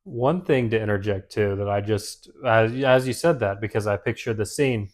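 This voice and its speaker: A man on a relaxed movie podcast talks through the film's themes in loose, meandering sentences. He fills his speech with "like" and keeps circling back to his point. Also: lowish voice, normal speed. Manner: rambling, leans hard on "like". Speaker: podcast co-host. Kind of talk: casual movie discussion.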